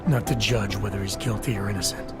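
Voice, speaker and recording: An actor playing a guarded, terse augmented security agent with an American accent, in a game cutscene. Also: male voice, fairly low pitch, fairly even intonation, quick pace, natural voice. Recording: game cutscene with loud music.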